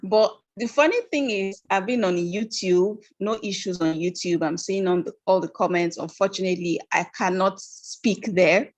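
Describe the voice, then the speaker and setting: A woman's voice: mid-range voice, moderate pace, few pauses, no room sound. Earnest woman on a video call. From Nigeria. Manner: apologetic, earnest, with restarts. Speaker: guest trainer. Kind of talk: online training talk. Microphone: video call on a weak connection.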